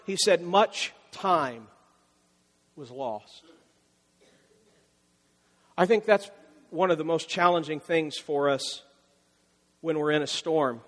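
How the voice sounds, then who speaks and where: High-voiced male pastor in a reverberant hall. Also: fairly bright tone. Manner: conversational preaching with repeated phrases and emphatic rises. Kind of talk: sermon.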